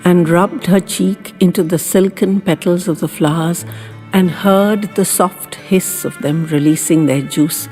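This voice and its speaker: A woman reading sentimental short stories as a storyteller, in a soft, expressive, dramatic voice with lingering pauses. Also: lowish voice. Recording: long hall reverb, music behind.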